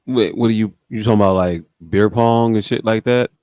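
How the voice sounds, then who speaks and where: A man's voice: on the low side, steady tone, a little fast, darkish tone, dry recording, clean studio sound. A man chatting casually as a podcast host, with slangy, profane, joking banter and a slight stutter. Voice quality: slight speech impediment with some stutter. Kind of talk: casual chat.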